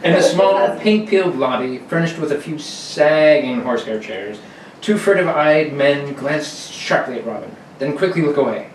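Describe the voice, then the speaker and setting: A man's voice: a little high, lively intonation, normal speed. A man narrating a radio serial in a theatrical 1940s announcer style. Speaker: radio drama narrator and actor. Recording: echoey noisy room, distant mic.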